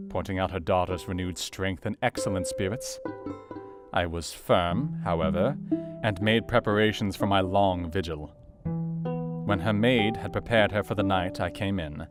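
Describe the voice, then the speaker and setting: An actor playing an earnest, caring Victorian doctor in a radio play, narrating his diary in a measured, formal way. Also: on the low side, close to an everyday voice. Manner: measured, formal, literary delivery. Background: plucked guitar music.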